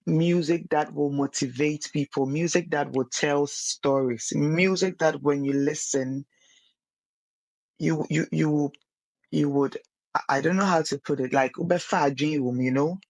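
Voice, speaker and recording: A woman's voice: low-pitched, measured pace, a little mellow, no echo. A young woman, a singer guesting on a casual podcast, earnest and often repeating words as she searches for them.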